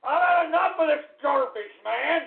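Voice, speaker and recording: A man's voice: very high-pitched, expressive, normal speed, creaky. A man ranting as a call-in radio host, exasperated, repetitive and profane. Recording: tinny phone-like, band-limited sound.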